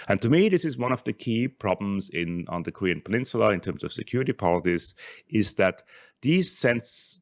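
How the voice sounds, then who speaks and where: A man, giving an academic conference talk in a thoughtful, halting way, often repeating and restarting words. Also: fairly low pitch, no room sound, studio quality. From Switzerland. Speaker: conference speaker, scholar.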